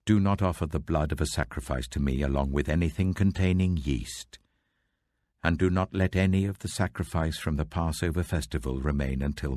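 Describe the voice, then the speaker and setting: A man reading a scripture audiobook, voicing a stern male God who speaks in a solemn, commanding, deliberate manner. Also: very deep voice, a little mellow, no echo, full character voice.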